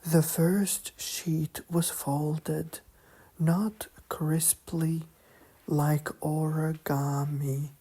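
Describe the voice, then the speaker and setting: Very slow, breathy low-voiced woman. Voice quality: soft, breathy, often slipping toward a whisper. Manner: calm, monotone, hushed, with long pauses. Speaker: sleep story narrator. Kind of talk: slow soothing bedtime sleep story narration.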